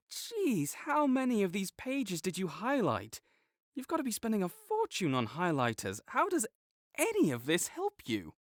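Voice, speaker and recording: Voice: medium pitch, wildly swooping pitch, unhurried, no room sound, clean studio sound. A young adult performer voicing a sarcastic, condescending academic rival with a slight tsundere streak, teasing throughout. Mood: smug. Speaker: audio roleplay performer. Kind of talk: scripted audio roleplay acting.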